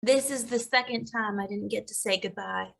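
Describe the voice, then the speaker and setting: A woman playing an earnest, anxious orphaned young woman in an animated comedy, with emotional delivery. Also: mid-range voice, moderate pace, vocal fry. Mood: forlorn. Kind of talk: animated comedy table read.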